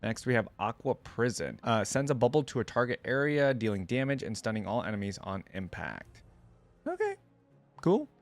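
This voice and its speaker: A man hosting a reaction video, talking casually as he thinks out loud and reads text from the screen. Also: mid-range voice, big pitch swings, normal speed.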